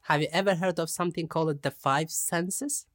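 A man's voice: on the high side, steady tone, normal speed, a bit bright, big-room sound, natural voice. A man acting in a radio play. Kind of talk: radio play dialogue and announcement.

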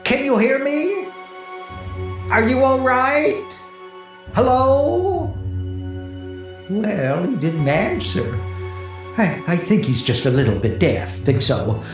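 An actor playing a frightened, lonely disc jockey in 1940s radio horror, stammering nervously and rambling to himself. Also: male voice, very high-pitched, animated, slow, pauses often, heavily acted. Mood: anxious. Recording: echoey vintage 1940s radio recording with music.

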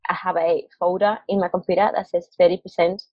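A woman's voice: lowish voice, little pitch movement, quick pace, dry recording. A young woman from Mexico, a guest on an interview, chatting casually with frequent laughs and fillers. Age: young adult. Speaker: guest, marketing agency founder. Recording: dry, dull lo-fi call audio.

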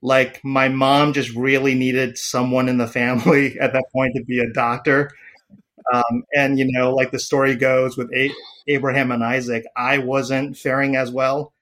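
A man's voice: mid-range voice, flat delivery, normal speed, a bit bright. Hesitant man, psychiatrist podcast guest. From Taiwan. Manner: hesitant, many fillers and restarts. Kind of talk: podcast interview.

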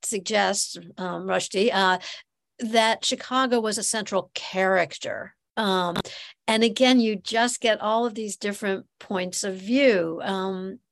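A woman hosts a podcast interview at a middle pitch, thinking aloud as she frames her questions. She fills her pauses with soft ums and builds each question in stages. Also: creaky. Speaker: podcast host.

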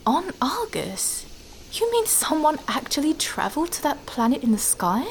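A woman's voice: high-pitched, big pitch swings, moderate pace. Woman playing an earnest young female novice adventurer. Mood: wondering. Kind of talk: fantasy audio drama acting. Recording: noticeable ambient background noise.

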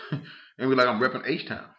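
A man from Louisiana chatting casually on a podcast, haltingly repeating his opening words as he makes a point. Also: medium pitch, highs cut off. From Louisiana, United States.

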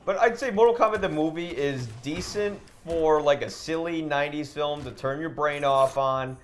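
A man's voice: fairly high pitch, moderate pace, a little hiss or hum. A man co-hosting a casual podcast chat, giving blunt, dismissive opinions and swearing freely while playing a game. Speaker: podcast co-host.

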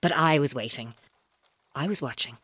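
An actress playing a controlling, taunting female captor in an audio drama, speaking in a deliberate, coaxing way. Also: a bass voice, fairly bright tone, slightly rough, no room sound, exaggerated voice. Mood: accusing, controlled. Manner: deliberate, coaxing, coldly mocking. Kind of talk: audio drama acting, monologue-like.

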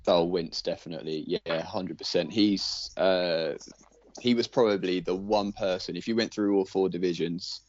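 A man's voice: low voice, fairly even intonation, normal speed, a little mellow, dry recording, lo-fi sound. A man giving laid-back, casual commentary as a podcast panellist. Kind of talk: casual commentary on league standings.

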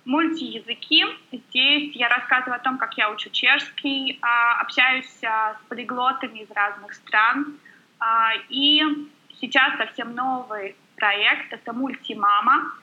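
A woman talks fast and freely as an interview guest, her voice very bright and sharp with a slightly raised pitch. She fills pauses with little hesitation sounds. The audio is dull and lo-fi, like a remote call. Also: fairly even intonation. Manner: fast, chatty, many filler sounds. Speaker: guest blogger. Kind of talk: casual interview chat.

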